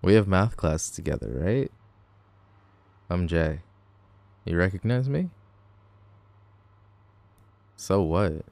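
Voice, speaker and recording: A man's voice: very deep voice, vocal fry, darkish tone, no echo, exaggerated voice. A man playing a cocky, grumpy tall teenage delinquent boy in an audio roleplay, sarcastic, teasing and dismissive. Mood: casual, aloof. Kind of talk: boyfriend ASMR audio roleplay.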